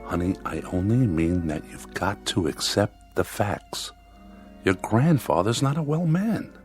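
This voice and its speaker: An actor playing a blunt, practical but concerned husband in a radio drama, reasoning and persuasive. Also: male voice, on the low side, lively intonation, unhurried, darkish tone. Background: music.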